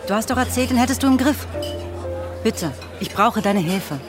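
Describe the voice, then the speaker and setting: A woman's voice: fairly high pitch, expressive, normal speed, over music, close to an everyday voice. An actress playing a determined, reproachful young woman in a radio drama, speaking in a pointed, insistent way. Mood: pleading.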